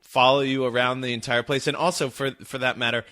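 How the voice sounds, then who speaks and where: A man hosting an interview, asking questions in a halting way, searching for words and repeating himself. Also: mid-range voice, flat delivery, sharp tone. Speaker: podcast host.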